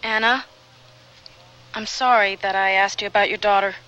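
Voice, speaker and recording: A woman's voice: mid-range voice, fairly even intonation, moderate pace, pushed and bright, smooth, muffled recording, close to an everyday voice. An actress playing an earnest, anxious woman, sympathetic but easily exasperated, in a radio drama.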